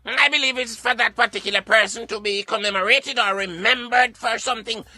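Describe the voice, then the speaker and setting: A man debating in formal English, in a halting but insistent manner. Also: very high voice, sharp tone, no echo, soft background music. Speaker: radio show co-host.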